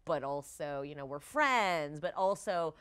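A woman in her thirties talking casually as a guest on a gaming discussion show, rambling and animated, often saying "like" and "you know". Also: low-pitched, a little slow, clean tone. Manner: rambling, animated, peppered with 'like' and 'you know'. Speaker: tabletop game player, guest on a discussion show. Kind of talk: casual chat. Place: TV-style studio set.

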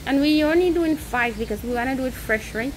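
A woman co-hosting a casual cooking chat, playful and quick to laugh. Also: high-pitched, a little hiss or hum, low-quality recording. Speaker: co-host.

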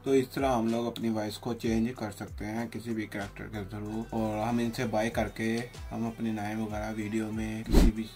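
A man narrating an unscripted software tutorial, explaining plainly step by step. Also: lowish voice, level tone, moderate pace, hardly any pauses. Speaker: tutorial host. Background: background music.

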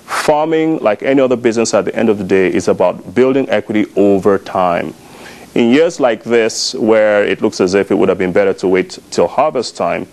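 A man, a farm management expert, giving an interview answer in a deliberate way, stressing key words. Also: lowish voice, a little mellow.

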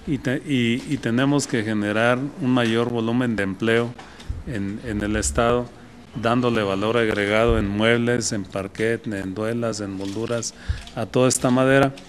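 A man in his sixties with a Mexican Spanish accent, a state forestry official speaking at a press conference in a measured way with some restarts, recorded on desk microphones indoors. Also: medium pitch, slight background noise. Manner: measured, repeats himself, brief restarts.

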